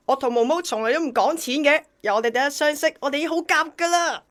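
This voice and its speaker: Woman acting young male courier, fairly high pitch, Hong Kong Cantonese, possessive, resentful, radio drama. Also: quick pace, fairly bright tone. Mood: defiant, possessive.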